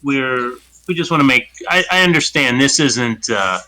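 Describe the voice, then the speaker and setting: A man playing a polite marshal investigator in a tabletop game, informal and halting as he talks. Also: medium pitch, expressive, pushed and bright, pitched above the performer's own voice, low-quality recording. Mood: cautious, polite.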